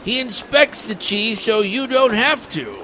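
A man's voice: extremely high pitch, animated, a little slow, sharp tone, a little hiss or hum, pitched above the performer's own voice, full character voice. A man voicing an exasperated, polite tour guide with a fussy, strained manner. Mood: presenting, polite.

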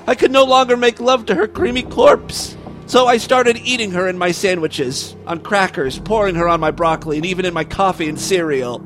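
A man's voice: sits high, moderate pace, fairly bright tone, faint music behind. A man voicing a manic, lecherous husband in a theatrical, grandiose comic story. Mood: confessional, desperate.

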